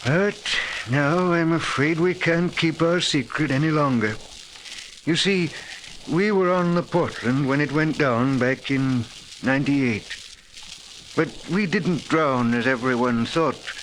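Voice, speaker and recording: An actor in 1940s radio style playing a courtly, secretive Victorian gentleman with formal, courteous speech. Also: on the high side, slow pace, rough recording. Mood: confiding. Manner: courteous, formal, smooth-talking. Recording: hissy old 1940s radio recording.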